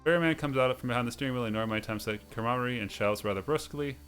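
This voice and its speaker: Male let's play host, middle pitch, reads game text aloud with the odd stumble, music behind. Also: rapid-fire, fairly bright tone. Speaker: host of a video game playthrough series.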